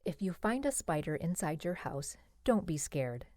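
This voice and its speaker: A woman narrates an educational children's book in a fairly low voice. Her read-aloud phrasing is simple and clear, and the sound is very dry, with no room around it. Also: very animated, moderate pace, dark tone, no room sound.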